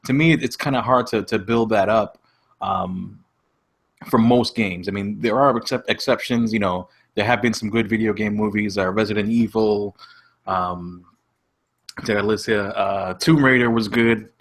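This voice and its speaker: A man's voice: lowish voice, steady tone, normal speed. A man on a podcast panel, talking casually and reasoning his opinion out as he goes.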